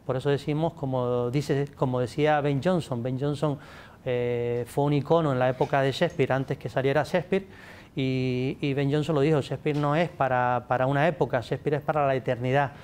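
A man's voice: medium pitch, fairly even intonation, a little fast, a little mellow, clear, resonant tone. Gesturing man, a native English speaker. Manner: gestures a lot, many restarts, addresses audience. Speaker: guest speaker, literature scholar. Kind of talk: animated interview answers. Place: studio set with pink backdrop. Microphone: table mic.

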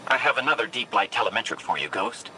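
A man's voice: lowish voice, very animated, fast, sharp tone, a little hiss or hum, exaggerated voice, poor audio. A man playing a helpful, careful small robot companion in a video game. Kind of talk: scripted video game dialogue.

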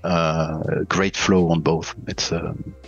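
A man's voice: deep voice, flat delivery, slow pace, vocal fry, muffled recording, soft background music. A Belgian-born man in his forties, head of a game studio, talking hesitantly with many fillers over a video call on a headset.

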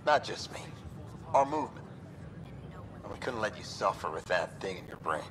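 A man's voice: deep voice, big pitch swings, moderate pace, background noise. An actor playing an upbeat, chatty male hacker recruiting for his movement in a video game. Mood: friendly.

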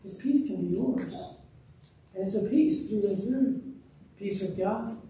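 A man's voice: high voice, a little slow, mellow, slight background noise. A man in his thirties, a pastor, preaching an earnest, emphatic sermon from a pulpit in a church sanctuary. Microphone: room mic, far from speaker. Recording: echoey distant church recording.

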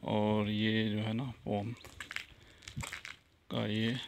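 Slow gravelly man touring a farmhouse. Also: fairly low pitch, completely flat delivery, noisy background. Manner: slow and plain, pointing things out with "ye jo hai na". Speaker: vlogger giving a property tour. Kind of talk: casual walkthrough narration. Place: outdoors and indoors at a farmhouse. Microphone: phone camera held by the speaker.

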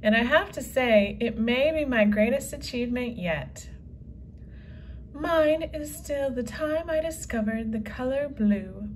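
A woman's voice: fairly high pitch, measured pace, slight background noise, close to an everyday voice, poor audio. A woman reading a lofty, vain unicorn. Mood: grand. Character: vain, dreamy unicorn, grand and whimsical.

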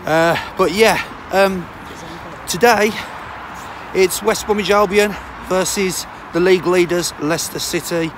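A man, hoarse from a sore throat, chatting through a football match-day vlog to his phone outdoors with lots of fillers. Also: sits high, measured pace, background noise. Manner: chatty, rambling with many fillers. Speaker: football fan vlogger. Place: outdoors on a city street on a cold day. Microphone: phone held at arm's length.

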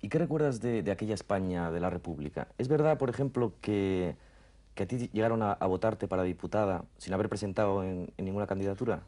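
A man in his thirties with a deep, relaxed voice. He puts questions conversationally, as the interviewer on a television programme. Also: fast. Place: dim furnished lounge, indoors. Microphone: table microphone on a stand.

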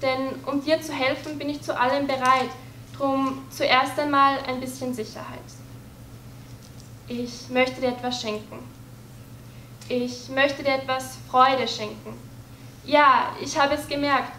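A woman's voice: high-pitched, steady tone, unhurried, sharp tone, recorded from a distance, a little hiss or hum. A teenage girl performing her spoken word poem on stage, earnest and rhythmic with rhymes, reading from a sheet. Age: teens. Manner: earnest, rhythmic rhyming delivery, reading from paper. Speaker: poetry slam performer. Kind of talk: spoken word poetry recital. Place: dark stage, indoors. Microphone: stand mic at some distance. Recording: echoey hall, voice a bit off-mic.

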